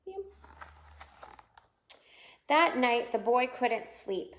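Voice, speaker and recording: A woman reading a picture book aloud to young children in an expressive way, pausing to point out the pictures. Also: fairly high pitch. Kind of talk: children's read-aloud storytelling. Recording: roomy, echoing indoor sound.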